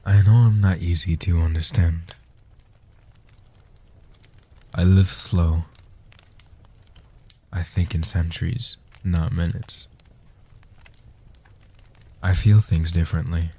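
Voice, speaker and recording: A man playing an ancient, protective male vampire in a soft-spoken comfort roleplay, speaking in a breathy, hushed, deliberate and soothing way. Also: low-pitched, fairly even intonation, full character voice. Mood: gentle, reflective. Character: ancient male guardian vampire, protective, tender, world-weary.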